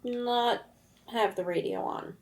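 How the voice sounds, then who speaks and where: A woman's voice: a little high, slow pace, voice pushed down. A woman playing a defensive teenage daughter, protesting hesitantly and stammering.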